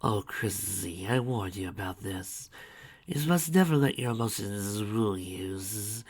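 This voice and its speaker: A man voicing a cold, scheming changeling queen mother who speaks in a soft, hushed whisper. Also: lowish voice, animated, normal speed, lightly acted. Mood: cooing.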